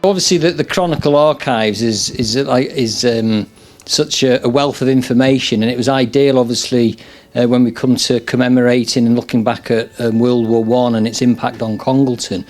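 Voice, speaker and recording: A man's voice: medium pitch, steady tone, moderate pace, a little mellow, soft background music. A man talking informally as an interviewee.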